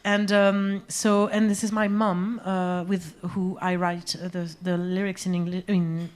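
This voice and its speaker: A woman, a musician guest talking about her music in a live on-stage interview, pausing often to search for words. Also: on the low side, flat delivery. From Algeria, raised in France. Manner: hesitant, searching for words, many fillers. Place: small music venue stage.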